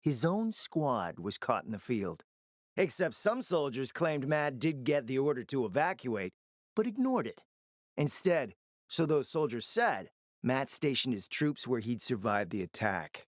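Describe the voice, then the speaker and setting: A shrewd, foul-mouthed operator in a ruined-city video game. His delivery is lively and swings in pitch, conspiratorial and emphatic with a sardonic edge. The sound is dry and studio-tight. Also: dry recording. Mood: bitter, sardonic. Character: scheming, foul-mouthed post-apocalyptic city operator, confident and calculating. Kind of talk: dramatic video game dialogue.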